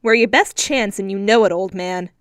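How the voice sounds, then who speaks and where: A woman voicing a sharp-tongued young flapper, blunt and brash. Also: medium pitch, normal speed, clean tone, dry recording, studio quality, close to an everyday voice.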